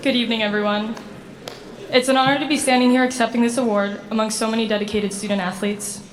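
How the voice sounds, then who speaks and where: A woman's voice: on the high side, fast, slight background noise, low-quality recording. A young woman from Sweden, a university student athlete, giving an earnest prepared acceptance speech. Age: 20s. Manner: earnest, steady, slightly read-aloud delivery. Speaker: award recipient giving a speech.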